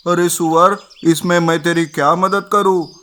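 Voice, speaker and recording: A self-important, dismissive bear from a children's animal tale, played by a man. The voice is somewhat high for a male and very clear, with a haughty, scornful delivery. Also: fairly even intonation, a little slow, bright, forceful tone.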